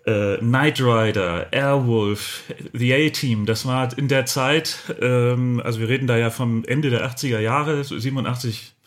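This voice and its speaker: A man's voice: mid-range voice, measured pace, few pauses. A middle-aged man chatting casually and reflectively as an interview guest.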